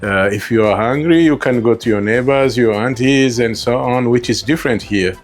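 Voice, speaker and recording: A middle-aged man from Rwanda with a Rwandan accent tells his personal story as an interview guest, halting and repeating words as he reflects. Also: medium pitch, faint music behind. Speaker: interview guest, store co-founder.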